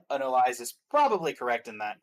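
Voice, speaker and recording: Pria mengobrol santai soal gim. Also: male voice, medium pitch, sing-song, brisk. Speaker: guest commentator on game awards stream. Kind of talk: casual chat, opinionated game commentary.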